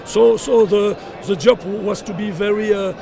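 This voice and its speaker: An older man coaching football, speaking at a post-match press scrum outdoors, halting with many fillers and repeated words. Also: high voice, steady tone, gravelly, crowd noise. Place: outdoors at a sponsor backdrop, surrounded by reporters. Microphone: cluster of handheld reporter mics and phones.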